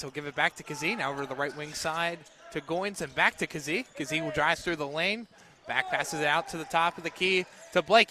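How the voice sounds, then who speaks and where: Bright, forceful male basketball play-by-play announcer. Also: fairly high pitch. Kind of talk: live basketball radio commentary. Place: indoor basketball game.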